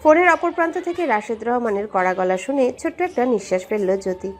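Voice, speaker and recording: A woman's voice: a little high, animated, fast talker. Woman narrating a dramatic story over music. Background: background music.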